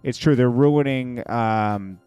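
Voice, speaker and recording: A man's voice: medium pitch, slow pace, a little mellow, clean tone, no echo, faint music behind. A man hosting a show with casual, opinionated gossip commentary, speaking in a blunt, slangy way. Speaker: show host.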